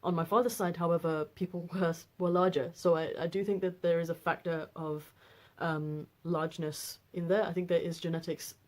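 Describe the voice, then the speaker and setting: Deep-voiced young woman rambling casually. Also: level tone, moderate pace. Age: 20s. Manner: rambling, frequent fillers and restarts. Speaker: vlogger. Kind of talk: casual personal vlog.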